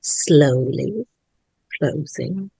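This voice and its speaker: Female narrator for a script read: unhurried, very expressive, close dry sound. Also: low voice, gravelly, slightly rough, no room sound, rough recording. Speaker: narrator of a staged table read. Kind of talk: reading screenplay directions aloud.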